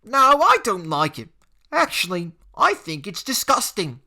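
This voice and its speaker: Brief: a man doing cocky teen bully, sneering, raised pitch, bright edge. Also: big pitch swings, measured pace, full character voice. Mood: mocking. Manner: mocking, sneering. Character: taunting teenage boy bully, cocky. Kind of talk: audiobook character voice.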